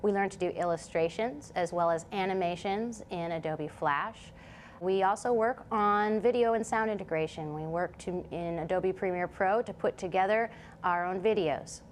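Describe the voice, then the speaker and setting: A woman with a slightly low voice presents herself and her work as an instructor would, straight to camera. A faint ambient noise sits behind her. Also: moderate pace. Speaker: college digital design instructor.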